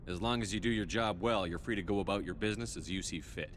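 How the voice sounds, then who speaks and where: An actor playing a military starship commander in a game, firm and steady, with clipped authority. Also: male voice, deep voice, fast talker, slight background noise. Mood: measured.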